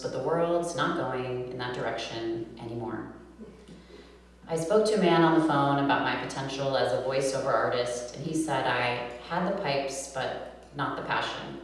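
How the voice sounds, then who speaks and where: An American woman with a nasal voice, giving a live poetry reading and introducing poems casually in her own words. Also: very deep voice. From New York City, USA. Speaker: poet reading own work. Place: reverberant room at a live reading event. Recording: echoey hall, distant mic, humming noise.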